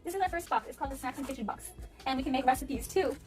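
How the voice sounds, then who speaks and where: Music plays clearly behind a woman with a slightly high voice who talks very quickly. She is chatty and enthusiastic, speaking casually like a mother filming a homeschool vlog. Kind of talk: casual vlog narration and chat with her children.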